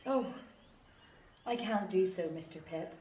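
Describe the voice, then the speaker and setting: A mellow, reserved young country woman played by an actress, speaking softly and reticently. She sounds distant and echoey. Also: on the low side, a little slow, slight background noise, lightly acted. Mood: reserved, hurt. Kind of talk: audio drama acting.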